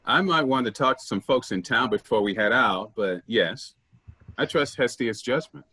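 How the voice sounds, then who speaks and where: A man's voice: mid-range voice, expressive, normal speed, bright, forceful tone, slightly rough. A man playing an easygoing, helpful member of a travelling theater troupe in a staged play reading.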